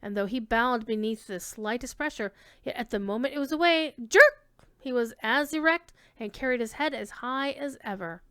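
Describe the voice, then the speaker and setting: Clear, higher-pitched woman reading a story aloud. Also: normal speed, sharp tone, no room sound, clean studio sound. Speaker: reader giving a dramatic reading of a classic tale. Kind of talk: dramatic literary narration, reading aloud.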